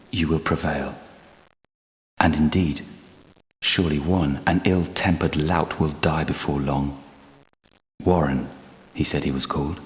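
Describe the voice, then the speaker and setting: An actor playing a proud, sorrowful, devout guardian knight in a video game, with grave delivery and formal, archaic diction. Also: male voice, low-pitched. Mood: ominous. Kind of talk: dramatic video game character acting. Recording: long cavernous hall reverb.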